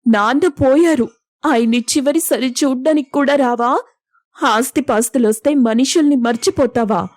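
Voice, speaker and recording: A woman's voice: high voice, moderate pace, a little mellow, no room sound, clean studio sound. A woman playing a self-sacrificing young elder sister in an audio story, hurt, proud and earnest. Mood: anguished anger. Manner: earnest, emotional. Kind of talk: acted dialogue in an audio story.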